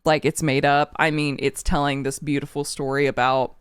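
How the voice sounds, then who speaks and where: A woman with a slight cold talks through her reaction to an album, emotional and candid, with the odd swear word. Her voice is smooth and clear despite the sniffles. It is recorded close and dry, with no room sound. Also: sits low, little pitch movement, normal speed, dry recording. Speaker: music reaction channel host. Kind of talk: casual album reaction and review.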